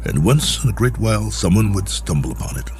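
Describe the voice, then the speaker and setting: An actor playing a lonely stranded space colonel in a radio drama, musing in a wry, world-weary way. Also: male voice, on the low side, big pitch swings, a little fast, darkish tone, soft background music. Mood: wry, reflective.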